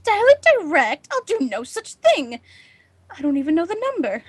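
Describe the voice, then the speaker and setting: An actress playing a nervous, imperious housewife on edge, in a melodramatic 1940s radio drama style. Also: female voice, high-pitched, sing-song, normal speed, no room sound. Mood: haughty, outraged. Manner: haughty, melodramatic, agitated. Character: nervous, imperious, panicking housewife alone at night.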